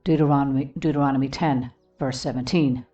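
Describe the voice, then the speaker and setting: A woman reading a devotional text and scripture references aloud in a solemn, deliberate manner, pausing between short phrases. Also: extremely low pitch, fairly even intonation, dark tone. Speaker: reader of a devotional book. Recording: dull lo-fi, distant mic, music behind.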